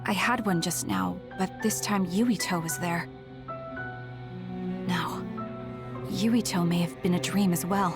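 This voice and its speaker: A woman's voice: on the low side, steady tone, slow, pauses often. An actress playing a reserved, introspective young female soldier in a video game cutscene. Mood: pensive. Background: loud music.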